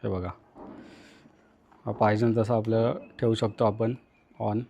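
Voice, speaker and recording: A man's voice: fairly low pitch, level tone, a little slow, gravelly, warm tone, highs cut off. A man with an Indian accent from Maharashtra gives a casual hands-on tutorial, talking to viewers in short, repeated instructional phrases. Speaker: vlogger, tutorial host.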